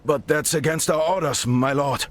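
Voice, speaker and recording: An actor playing a hesitant, obedient military aide in a video game, speaking deferentially. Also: male voice, a little high, normal speed, lightly acted. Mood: cautious.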